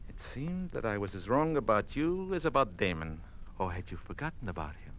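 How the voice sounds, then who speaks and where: An actor playing a cold, humorless Soviet marshal in an old-time radio drama, giving orders in an imperious, formal, clipped manner. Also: male voice, fairly low pitch, sing-song, fairly quick, fairly bright tone, a little hiss or hum. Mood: cold threat.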